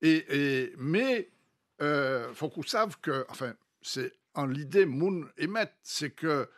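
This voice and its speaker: Halting Martinican man, Creole-laced French. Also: a little high, lively intonation, a bit bright. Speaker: studio guest. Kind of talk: casual discussion, storytelling about history.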